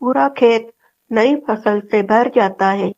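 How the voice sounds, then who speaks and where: A woman's voice: on the high side, slow, a little mellow, muffled recording. A woman narrating a scripted children's story.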